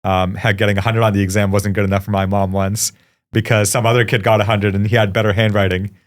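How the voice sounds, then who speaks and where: Thoughtful Indian-born man, low-voiced guest. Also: flat delivery. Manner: thoughtful, reflective, often pausing with "you know". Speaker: guest, startup founder. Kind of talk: casual interview conversation.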